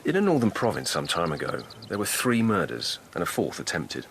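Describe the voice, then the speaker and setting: A man playing a probing, grave investigating lieutenant at an imperial court in a radio drama, speaking in a measured, serious way. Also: deep voice, wildly swooping pitch, vocal fry, fairly bright tone, slight background noise, exaggerated voice. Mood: grave, cautioning. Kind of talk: 2000s radio drama acting.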